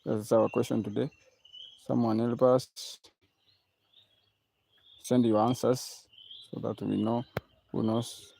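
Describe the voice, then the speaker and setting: A man from Kenya with a heavily gravelly, fry-laden voice hosts a live music lesson for viewers. He repeats his question again and again, coaxing the audience to send answers. Faint crickets and birds chirp in the background. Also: medium pitch, flat delivery, slow, pauses often, dark tone, slight background noise. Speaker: music teacher hosting a live stream.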